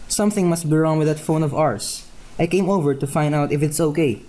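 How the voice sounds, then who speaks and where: A woman's voice: low voice, brisk, dark tone, close to an everyday voice. A woman playing a breezy, oblivious, talkative teenage neighbor boy in a radio play. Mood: friendly.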